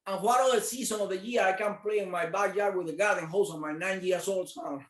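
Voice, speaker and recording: A man's voice: sits high, brisk, roomy sound. A man from Cuba, a business owner answering interview questions earnestly, repeating words as he searches for them. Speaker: martial arts studio owner.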